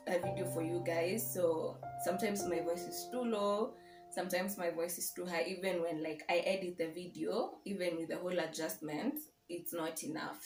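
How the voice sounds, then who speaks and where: Young Kenyan woman, lowish voice, roomy sound. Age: young adult. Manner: informal, code-switches into Swahili. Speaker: YouTube vlogger. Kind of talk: casual personal vlog chat. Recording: roomy echo, music behind.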